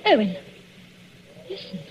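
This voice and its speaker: An actress playing an anxious young wife, defensive and frightened, with tense, clipped delivery in 1940s radio suspense style. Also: female voice, takes it slowly, over music, close to an everyday voice. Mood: nervous. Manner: tense, clipped denials and protests. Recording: muffled, noisy 1940s radio recording.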